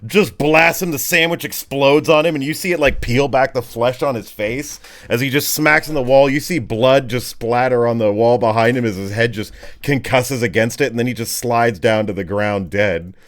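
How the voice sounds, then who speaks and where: A man running a tabletop game as game master, narrating off the cuff in vivid, colloquial, halting phrases. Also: mid-range voice.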